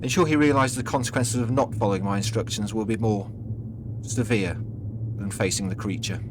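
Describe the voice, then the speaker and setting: A man's voice: lowish voice, fairly quick, natural voice. A male storyteller voices a sinister man in charge, cold and curt. He speaks in imperious commands, as an audio story villain, with noticeable noise behind the voice. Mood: cold, commanding. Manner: imperious, clipped orders.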